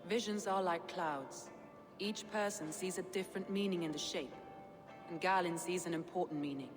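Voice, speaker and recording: A woman playing a proud, shrewd Viking clan leader in a video game, composed, deliberate and authoritative. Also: fairly low pitch, long reverb tail, music in the background, close to an everyday voice. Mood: reflective. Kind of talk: video game dialogue acting.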